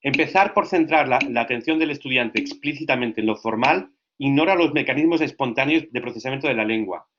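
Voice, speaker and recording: A man giving a lecture to language teachers, lively and often checking that listeners follow. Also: medium pitch, a little fast, hardly any pauses, highs cut off, a little hiss or hum. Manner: lively, asks frequent check questions.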